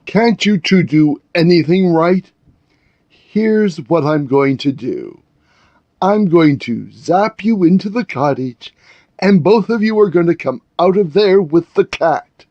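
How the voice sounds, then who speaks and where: A man's voice: sits high, expressive, slow, dry recording, highs cut off, voice pushed up, exaggerated voice. A man voicing a wicked, imperious sorceress in a storybook, haughty, scornful and commanding with the henchmen. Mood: ranting.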